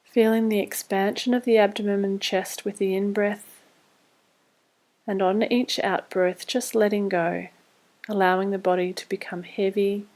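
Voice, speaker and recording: A woman leading a guided body scan meditation, giving scripted instructions in a calm, measured, soothing way. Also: mid-range voice.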